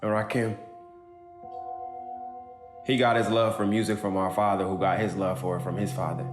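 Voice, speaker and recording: Brief: man performing an earnest bereaved kid brother, halting delivery, audio drama, roomy sound with guitar music behind. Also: on the low side, level tone, natural voice. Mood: fond, reflective. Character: grieving kid brother giving a heartfelt eulogy. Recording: roomy echo, music underneath.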